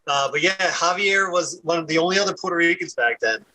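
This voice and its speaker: Male guest in his thirties, high and very bright voice, loose rambling storyteller. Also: lively intonation, quick pace, low-quality recording. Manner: rambling, repeats words, laughs easily. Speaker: podcast guest. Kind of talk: casual conversation.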